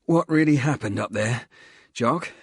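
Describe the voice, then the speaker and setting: A man's voice: mid-range voice, a little slow, husky, dry recording, pitched above the performer's own voice. A man voicing a tough, hungover soldier who talks in a dry, teasing way. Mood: probing.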